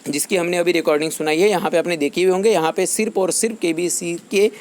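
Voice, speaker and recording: Higher-pitched man explaining to viewers. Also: steady tone, fast talker, slight background noise. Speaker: YouTube channel host. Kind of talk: informal explainer addressed to viewers.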